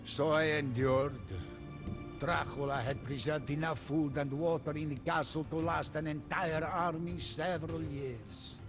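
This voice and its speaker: An actor playing a solemn storyteller who recounts a medieval warlord's tale in dramatic game cutscene narration. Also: male voice, fairly high pitch, steady tone, unhurried. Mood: weary, hopeful. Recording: music behind the voice.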